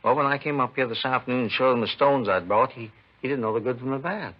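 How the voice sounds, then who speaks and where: An actor playing an agitated elderly diamond dealer who has been robbed, rambling and repeating himself in a 1950s radio drama. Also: male voice, mid-range voice, quick pace, natural voice. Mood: bitter, regretful. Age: older. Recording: dull 1950s radio recording.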